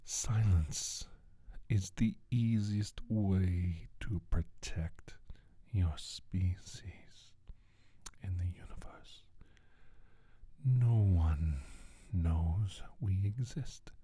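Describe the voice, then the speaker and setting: A menacing alien scientist played by a man speaks in a very breathy, dark whisper, extremely slow and deliberate. He is scornful and condescending, a would-be conqueror in an ASMR roleplay, recorded close and dry. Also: sits low, no echo, exaggerated voice. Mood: ominous, measured. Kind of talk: soft-spoken ASMR roleplay monologue.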